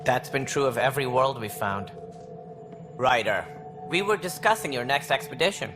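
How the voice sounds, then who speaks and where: A man voices a calculating alien space-station director in a video game. He is measured and formal, with a self-assured, condescending edge. His mid-pitched voice sits over faint background music. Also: animated, lightly acted. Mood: authoritative. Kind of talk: video game cutscene acting.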